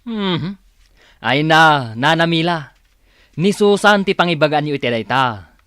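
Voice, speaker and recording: Henpecked husband, resigned grumbling, lightish voice, dry sound, filipino radio drama. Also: male voice, fairly high pitch, animated, measured pace, bright, forceful tone, clean tone, no echo.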